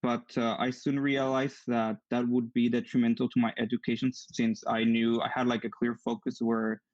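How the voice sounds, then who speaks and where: A man's voice: mid-range voice, level tone, moderate pace, a little mellow, no room sound, dull audio. A young man from Mexico with a strong Mexican accent, a Spanish native speaker answering earnestly as an interview guest. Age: young adult. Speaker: guest, engineering student. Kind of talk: online interview.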